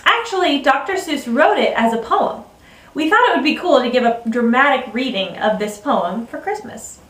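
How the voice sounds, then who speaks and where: A woman narrates a children's story as a dramatic reading. Her voice is on the high side. It carries plenty of room echo and sounds set back from the mic. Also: animated, moderate pace, a bit bright. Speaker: co-host and story reader. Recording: roomy echo, distant mic.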